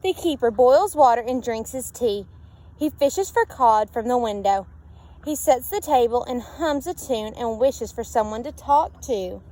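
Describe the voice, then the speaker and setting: A woman's voice: fairly high pitch, unhurried, poor audio. A woman reading a children's picture book aloud expressively, with sing-song repeats and questions to her listeners. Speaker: storybook reader. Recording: steady background noise.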